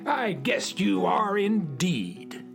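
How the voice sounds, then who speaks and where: A woman voicing a grumpy, scolding old male sun in a children's radio play, creaky and indignant. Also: lowish voice, very animated, slow pace, faint music behind, exaggerated voice.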